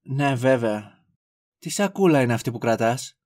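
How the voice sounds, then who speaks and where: A man's voice: mid-range voice, expressive, darkish tone, close to an everyday voice. A man playing an exasperated but caring and protective young man in an amateur audio drama, grumbling earnestly. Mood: apologetic, worried.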